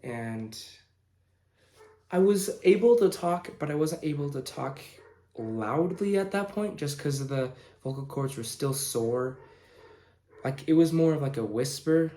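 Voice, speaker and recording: A man in his late teens casually telling a personal story in a halting, informal way, pausing mid-sentence. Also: fairly high pitch, expressive, warm tone. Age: young adult, late teens. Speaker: vlogger telling own story. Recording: roomy, echoing indoor sound.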